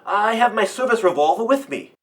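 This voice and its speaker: A woman playing a commanding, aristocratic lord of the manor in a radio mystery, giving brisk orders. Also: medium pitch, lively intonation, recorded from a distance, close to an everyday voice. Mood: commanding, urgent. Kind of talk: radio play acting.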